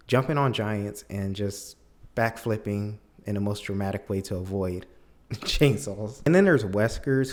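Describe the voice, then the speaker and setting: Low-voiced man giving casual movie commentary. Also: darkish tone. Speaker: video commentator. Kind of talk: casual film review commentary.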